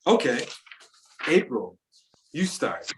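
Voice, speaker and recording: A man's voice: on the high side, very animated, slow pace, fairly bright tone, echoey room, slight background noise, exaggerated voice. A man reading the part of a laid-back teenage boy at a party during a table read. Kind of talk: table read of a teen drama.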